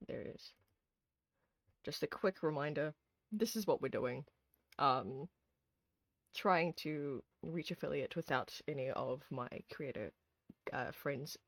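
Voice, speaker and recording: A tired, hoarse streamer who chats casually with viewers while replaying a horror visual novel, rambling with lots of ums and restarts. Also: medium pitch, no room sound. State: hoarse, sleepy. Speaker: streamer host.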